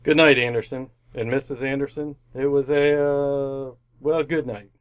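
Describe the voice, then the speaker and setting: Guest, played by a man: pained, stuffy gentleman with a middling voice and stiff manners, radio comedy. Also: mid-range voice, flat delivery, normal speed, clear, resonant tone, natural voice. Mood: stiffly polite. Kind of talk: old-time radio comedy acting.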